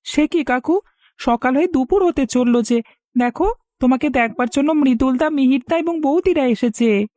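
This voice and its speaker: Woman acting an earnest high-voiced village boy. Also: normal speed, dark tone, dry recording, studio quality, close to an everyday voice. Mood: earnest, worried. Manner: earnest, pleading. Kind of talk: Bengali cartoon voice acting.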